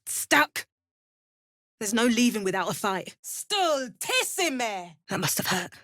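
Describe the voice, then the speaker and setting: Measured, watchful fantasy adventurer, dry studio sound. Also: female voice, on the high side, very animated, pushed and bright, no room sound, exaggerated voice. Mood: heated, urgent, then incanting, intense. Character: female fantasy adventurer, watchful, wry, steely spellcaster. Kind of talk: scripted video game voice lines, exploration and combat barks.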